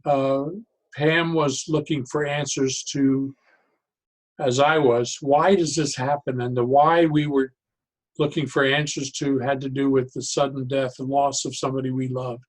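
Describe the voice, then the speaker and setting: Older man telling stories, reflective and halting. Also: mid-range voice, little pitch movement, low-quality recording. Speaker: interview guest, professional speaker and psychologist. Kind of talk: conversational storytelling.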